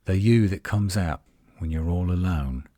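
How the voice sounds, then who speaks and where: A man playing a cocky, sardonic werewolf guardian in a roleplay audio, teasing and flirtatious, with a husky, drawling delivery. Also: low voice, a little slow, dark tone, no room sound. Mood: teasing, seductive. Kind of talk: roleplay audio acting, one side of a dialogue.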